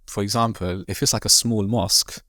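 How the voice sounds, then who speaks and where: A man from Devon, an imam, talking casually as a podcast guest in quick, rambling sentences full of fillers and restarts. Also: fairly low pitch, fairly even intonation, a bit bright, no room sound, studio quality. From Devon, England. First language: not Arabic. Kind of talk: casual conversational interview.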